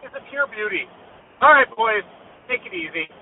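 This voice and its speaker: A man's voice: very high voice, huge pitch swings, moderate pace, creaky. A man from the United States leaving a casual voicemail message on a muffled, noisy phone recording. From Indianapolis, United States. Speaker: voicemail caller.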